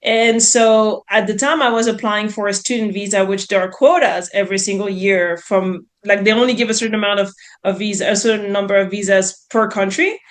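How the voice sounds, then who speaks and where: A woman in her thirties from France with a French accent, telling her story in a chatty, expressive way as an interview guest. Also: medium pitch, fairly quick. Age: 30s. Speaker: podcast guest, real estate investor.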